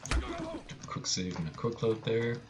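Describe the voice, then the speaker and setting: A man's voice: lowish voice, normal speed, dull audio. A man giving casual live commentary on his own video game speedrun, with playful, joking asides.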